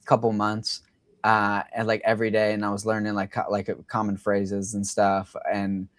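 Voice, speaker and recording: A man's voice: fairly low pitch, monotone, moderate pace, no room sound. A young man hosting a livestream, chatting casually and rambling with lots of fillers. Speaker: livestream host.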